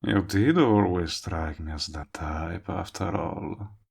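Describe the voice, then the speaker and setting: Man acting a lovestruck villain, very deep voice, slow delivery, smug, coaxing, intimate audio roleplay. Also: gravelly, darkish tone, slightly rough, full character voice. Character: cocky, lovestruck male villain wooing a longtime friend. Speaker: voice actor.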